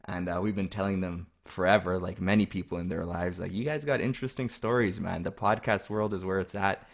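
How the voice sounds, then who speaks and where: A man's voice: sits low, a little fast. A man who helps produce the podcast, chatting casually with many fillers. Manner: relaxed, filler-heavy. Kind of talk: casual chat.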